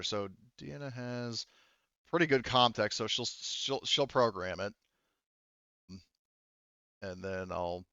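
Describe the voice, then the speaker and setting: A man running a tabletop roleplaying game as game master, improvising narration and explaining rules in a halting way, thinking aloud. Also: on the low side, creaky, a bit bright, dry recording, highs cut off.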